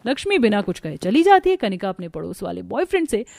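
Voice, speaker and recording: A woman's voice: medium pitch, big pitch swings, fast, creaky, a little mellow, no room sound. A woman narrating a scripted cartoon family story.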